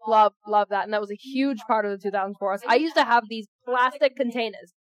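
A woman's voice: a little high, fast. A young woman from Boston with a Boston accent, co-hosting a podcast in casual chat, gushing and emphatic. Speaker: podcast co-host.

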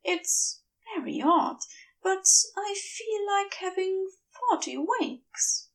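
A gentle male angel, played by a woman, pitched high and airy above the narration. He murmurs in a prim, genteel way, soft and drowsy, in a fantasy audiobook recorded a little away from the mic. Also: expressive, slow, far from the mic, full character voice.

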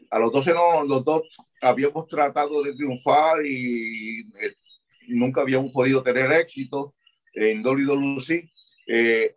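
An older man speaks with a husky, slightly breathy voice at an ordinary middle pitch. He answers earnestly and often repeats a word while he searches his memory. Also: low-quality recording. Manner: earnest, repeats words as he recalls. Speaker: interviewee, former wrestling manager. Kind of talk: reminiscing interview answers.

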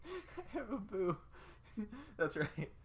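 A man's voice: high voice, very animated, drawn-out words, breathy edge. A young man chatting casually as a podcast co-host, with a playful, teasing way of talking. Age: young adult. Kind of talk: casual banter.

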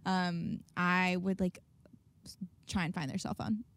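A woman's voice: lowish voice, unhurried, no echo. Woman founder, chatty and laughing, dry recording. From Nashville. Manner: laughs often, storytelling, animated. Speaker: startup founder, guest. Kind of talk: casual interview chat.

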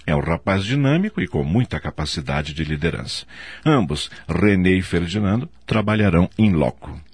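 An actor playing a self-assured, commanding company director in a radio soap opera, speaking formally as if giving a speech. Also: male voice, low-pitched, lively intonation, moderate pace, creaky, slightly rough, no room sound, exaggerated voice. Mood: praising.

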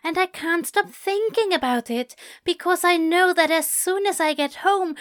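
A woman voicing a nervous teenage boy who frets and pleads in a high, breathless voice. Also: normal speed, a bit bright, no echo, clean studio sound. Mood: panicky.

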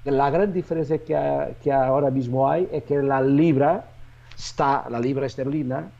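Interview voice: Portuguese-accented man, dark muffled tone, halting second-language answers. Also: medium pitch, steady tone, vocal fry. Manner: halting, with many restarts and fillers. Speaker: real estate agent.

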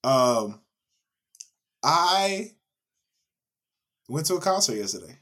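A man with a raspy, worn voice co-hosts a casual podcast. His pitch swings widely as he tells a story, and he keeps breaking into laughs and acted-out reactions. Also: takes it slowly. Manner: animated storytelling, laughing, mimicking with big pitch jumps. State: hoarse after a concert. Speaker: podcast co-host. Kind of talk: casual chat.

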